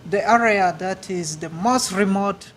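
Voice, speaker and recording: A man from Uganda with a Ugandan accent, answering audience questions in a halting, earnest way at a live speaking event. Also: sits high, a bit bright, a little hiss or hum. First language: a Ugandan language. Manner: earnest, halting, repeats words. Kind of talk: public speaking Q&A.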